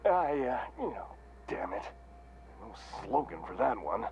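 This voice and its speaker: A man's voice: low voice, very animated, measured pace, muffled recording, slight background noise. An actor playing a corporate vendor in a mascot helmet in a video game, with forced salesman patter over weary resignation. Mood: weary, resigned. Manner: forced salesman cheer with slogans, slipping into resignation. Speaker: shop vendor.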